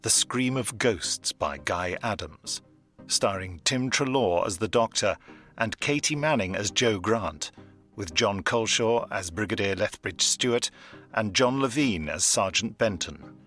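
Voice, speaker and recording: A man reading end credits in a measured, formal announcer manner. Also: lowish voice, expressive, a bit bright, faint music behind.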